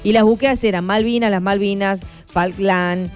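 A woman with an Argentine accent co-hosting a radio show, sharing figures from the news in a measured way. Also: mid-range voice, fairly even intonation, soft background music. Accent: Argentine Spanish. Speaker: radio co-host. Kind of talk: casual radio chat, reading news data.

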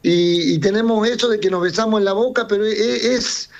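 A man's voice: high-pitched, fairly even intonation, a little fast, gravelly. A middle-aged man from Serbia who runs a circus, talking casually in an interview and rambling with frequent restarts and repeated words. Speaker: circus owner. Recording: dull lo-fi sound.

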